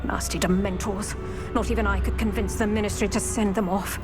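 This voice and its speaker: An actor voicing an older retired Auror woman who speaks briskly and formally in scripted game dialogue. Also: medium pitch, fairly even intonation, over music, natural voice. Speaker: retired Auror guiding a student.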